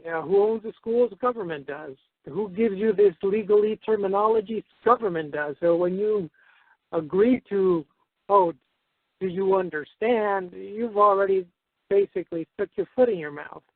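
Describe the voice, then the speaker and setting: A man's voice: high-pitched, normal speed, no echo. A man calling in for advice, raised in the United States, Spain and Mexico, explaining himself casually and hesitantly with repeated phrases. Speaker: caller seeking advice. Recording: narrow-band phone line.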